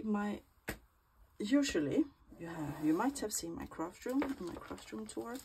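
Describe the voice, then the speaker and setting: A woman with a low, gravelly voice and a stuffy cold chats her way through a crafting session in her small craft room. She drifts between easy talk and half-whispered murmurs to herself. The recording carries steady background noise and clicks. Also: rough recording. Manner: chatty, often murmuring half-whispered asides while working. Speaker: crafting vlogger. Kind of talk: casual crafting walkthrough.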